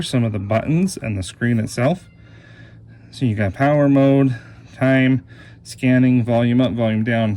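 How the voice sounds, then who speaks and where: A man's voice: mid-range voice, darkish tone. A man with a mid-range voice gives a casual hands-on product demonstration. He explains things plainly, step by step, as a reviewer would. There is some noise behind his voice. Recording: clear background noise.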